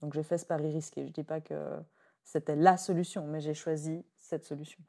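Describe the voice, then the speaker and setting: A woman, hosting a podcast in relaxed conversation. She talks reflectively and laughs easily. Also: low voice, normal speed. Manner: chatty, reflective, laughs easily, leans on "en fait". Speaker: podcast host.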